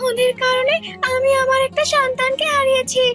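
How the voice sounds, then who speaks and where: A cartoon mother serpent played by a woman, bitter and unyielding. The voice sits extremely high and speaks in formal, wounded reproaches. Faint music plays underneath. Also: little pitch movement, brisk, sharp tone. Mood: bitter, accusing.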